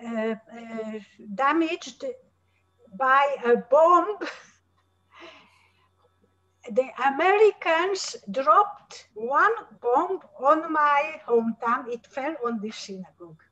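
Older woman, slow and halting. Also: high-pitched, expressive, a bit bright. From Slovakia, Hungarian-speaking border town. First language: Hungarian. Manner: halting, many fillers and restarts. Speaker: interviewee, artist and Holocaust survivor's daughter. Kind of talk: video-call interview, showing slides. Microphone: video call. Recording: dull lo-fi call audio.

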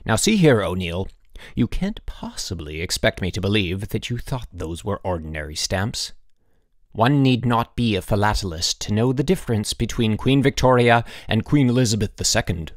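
A man's voice: lowish voice, animated, creaky, no echo, studio quality. A man voicing a stern, sarcastic headmaster who scolds in snapping, emphatic phrases for an audiobook. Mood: stern scolding. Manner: snapping, clipped commands, drawn-out emphasis.

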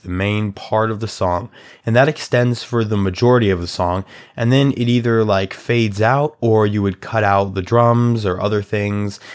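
Young male tutor, slightly raspy, lower register, laid-back conversational delivery, home desk mic. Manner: relaxed, chatty, filler-heavy explaining. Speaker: music production tutor. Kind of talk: casual tutorial walkthrough. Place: small room at home.

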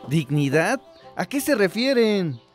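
A baffled village husband. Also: male voice, high-pitched, very animated, takes it slowly, soft background music, exaggerated voice. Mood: disbelieving. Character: baffled village husband, traditional, slowly won over. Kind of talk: radio drama acting.

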